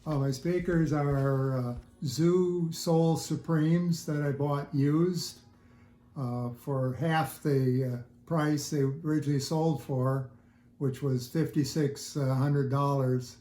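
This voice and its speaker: Older man, mellow voice, roomy sound. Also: fairly high pitch, steady tone. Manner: halting, thoughtful explaining. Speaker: interview guest, audiophile hobbyist. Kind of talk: casual interview chat. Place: indoors at home listening room. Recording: roomy, echoey home recording.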